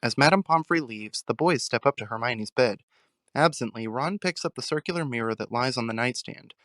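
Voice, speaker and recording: A man's voice: mid-range voice, a little fast, a bit bright, no echo. Man narrating an audio drama, dry sound.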